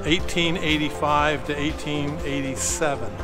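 A man's voice: fairly high pitch, steady tone, measured pace, over loud music. A middle-aged man, a photographer, talking casually as he walks and shoots, thinking aloud with restarts, recorded on a body-worn action camera. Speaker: photographer vlogger. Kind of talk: casual vlog narration. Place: outdoors and in a train station.

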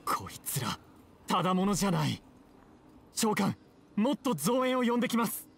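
A man's voice: high voice, big pitch swings, normal speed, vocal fry, a little hiss or hum, heavily acted. A man playing a nervous, deferential young samurai subordinate in a Japanese anime game. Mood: alarmed.

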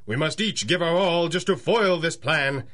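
Earnest, animated radio star. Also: male voice, fairly high pitch, moderate pace. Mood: heroic resolve. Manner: coaxing, explanatory. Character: earnest, patient male radio-show star and peacemaker. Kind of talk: comic old-time radio acting.

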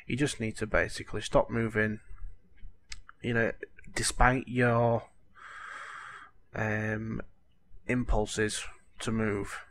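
A man talks in a low, fairly monotone voice, croaky from a cold. He rambles casually and apologetically, leaning on "you know", over a faint hiss of background noise. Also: takes it slowly, pauses often. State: has a cold, voice cracking. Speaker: gaming tutorial host. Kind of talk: casual game tutorial voice-over.

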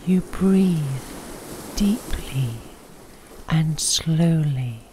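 Very slow, whispery woman guiding sleep relaxation. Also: sits low, slight background noise. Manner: soft, whispery, breathy delivery with long pauses. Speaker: guided relaxation narrator. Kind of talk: slow guided sleep meditation, scripted narration.

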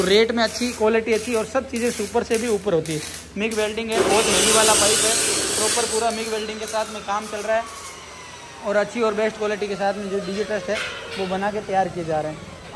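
A man giving an improvised, repetitive promotional pitch for his factory's products in running sales patter. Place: welding workshop at a factory. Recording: noisy workshop with hiss and machinery.

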